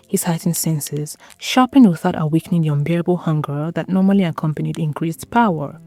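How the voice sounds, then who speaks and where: A woman with a lowish, very mellow voice narrates gothic romance fiction. Her delivery is measured and solemn, set over a light music bed. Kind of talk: dramatic scripted story narration.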